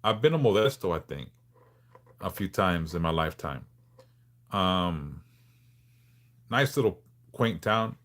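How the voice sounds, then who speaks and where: Man, forties, from southern california, livestream host, relaxed and frank, low-pitched. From Los Angeles, California. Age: 40s. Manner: laid-back, frank, swears casually, halting restarts. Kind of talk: casual livestream chat, answering viewers.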